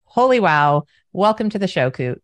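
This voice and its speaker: A woman's voice: fairly low pitch, big pitch swings, moderate pace, no echo. An enthusiastic woman hosting a business podcast and introducing her guest. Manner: enthusiastic, upbeat. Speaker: podcast host. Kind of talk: podcast interview.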